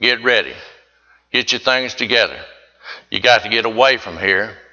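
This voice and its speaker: A man preaching speaks the lines of a commanding male deity in a plain, forceful voice. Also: fairly low pitch, moderate pace. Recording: dull lo-fi recording.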